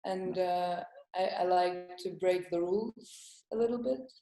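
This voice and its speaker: A young woman comedian from Estonia, whose first language is Estonian, chatting as an interview guest in a halting, hesitant way with frequent laughs. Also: lowish voice, mellow, echoey room, poor audio. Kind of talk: casual interview.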